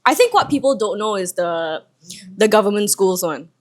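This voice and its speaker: A woman's voice: mid-range voice, lively intonation, normal speed. A young woman co-hosting a casual podcast in a living room, chatty and full of filler words. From Malaysia. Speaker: podcast co-host. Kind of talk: casual chat.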